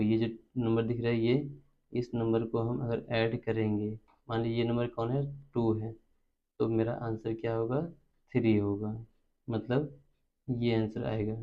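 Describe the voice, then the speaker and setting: A man with an Indian accent teaching maths step by step, instructional and repeating terms as he works. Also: medium pitch. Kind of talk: step-by-step spoken lesson. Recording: dull lo-fi recording.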